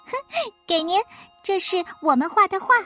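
A woman voicing eager young chicks in a cartoon dub, talking in a bright, excited way. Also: very high voice, normal speed, soft background music.

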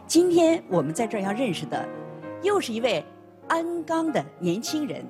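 A woman's voice: sits high, big pitch swings, moderate pace. A woman presenting a scripted radio introduction in an emphatic, presentational manner. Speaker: programme presenter. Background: music.

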